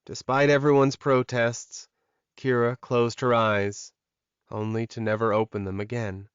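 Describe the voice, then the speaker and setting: A man reads dramatic fiction as an audiobook narrator. His pitch is in the middle range. The recording is close and dry, with a slightly dull tone. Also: flat delivery, unhurried, clear, resonant tone, no room sound.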